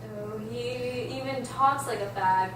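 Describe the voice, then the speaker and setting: A woman's voice: on the high side, takes it slowly. A woman reading the part of a snide, mocking sister at a script table read, in a noisy, echoey room. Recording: echoey room, distant mic, clear noise.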